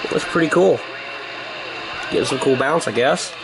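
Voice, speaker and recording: An American man talks casually, as if to regular viewers of his vlog. His voice sits in a middle register with a noticeable gravelly fry. He rambles along in a wry way, joking and exaggerating with some sarcasm. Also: mid-range voice, normal speed, background noise. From United States. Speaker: vlogger.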